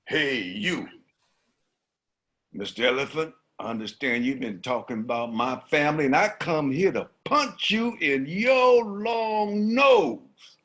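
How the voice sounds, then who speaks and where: A man's voice: a little high, big pitch swings, slow pace, a bit bright, pitched above the performer's own voice, full character voice. A man doing a blustering angry lion. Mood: challenging. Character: proud angry male lion, hot-tempered.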